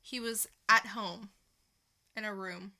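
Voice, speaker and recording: A woman's voice: mid-range voice, steady tone, slow, sharp tone. A woman co-hosting a podcast in a casual, chatty quiz-banter style. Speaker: podcast co-host.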